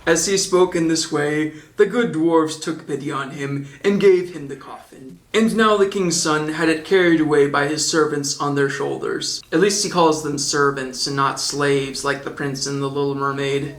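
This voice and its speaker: A man's voice: on the high side, steady tone, normal speed, few pauses, roomy sound. A man reading a storybook as an audiobook narrator, with the odd joking aside.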